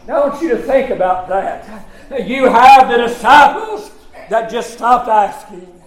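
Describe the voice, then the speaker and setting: A man preaches in a very high, strained-up register at a slow, deliberate pace. His delivery is an impassioned revivalist sermon with drawn-out, emphatic words. The sound is echoey, as in a roomy church. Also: lively intonation. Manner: emphatic, drawn-out words with frequent "uh" punctuating phrases.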